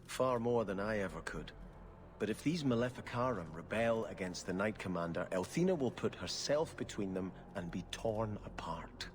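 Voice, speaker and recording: Game casting: man playing conflicted pious prince, low voice, solemn formal delivery, faint ambience behind. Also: animated, normal speed, slight background noise, close to an everyday voice. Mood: worried. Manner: solemn, earnest, formal phrasing. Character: earnest, pious, conflicted young exiled prince. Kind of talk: dramatic video game dialogue.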